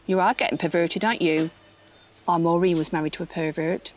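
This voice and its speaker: An actress playing a prim, dim, officious shop assistant in a comedy audio play, matter-of-fact, suspicious and gossipy. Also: female voice, on the low side, fairly even intonation, brisk, close to an everyday voice. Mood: indignant, gossipy.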